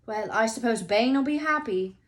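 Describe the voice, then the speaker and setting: A woman voicing an anxious, excitable young schoolboy wizard in a storybook, urgent and questioning. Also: a little high, normal speed, natural voice. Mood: feverish, agitated.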